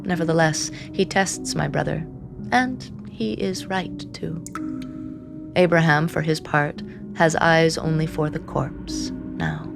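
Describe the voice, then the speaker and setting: An actress playing an anxious, devoted sister who narrates a dark fantasy audio drama in a measured, intense way. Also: female voice, low voice, creaky, over music, heavily acted. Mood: watchful, wry. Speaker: first-person narrator.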